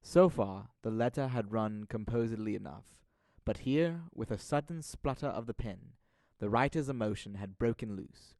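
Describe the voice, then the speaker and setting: A young man narrating a literary audio drama in a deliberate storytelling manner. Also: on the low side, animated, a little mellow, no echo. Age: young adult.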